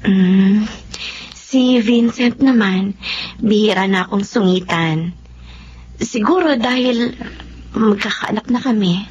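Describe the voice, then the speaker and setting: A woman with a Filipino accent playing a soft-hearted, remorseful young wife in a melodramatic radio drama. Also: mid-range voice, slow, pauses often, a little hiss or hum, natural voice. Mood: friendly. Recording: dull lo-fi radio recording.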